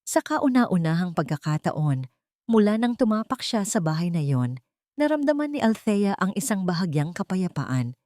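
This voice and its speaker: Female narrator, lowish register, dramatic audio-story read, dry sound. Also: big pitch swings, moderate pace, dark tone, no room sound.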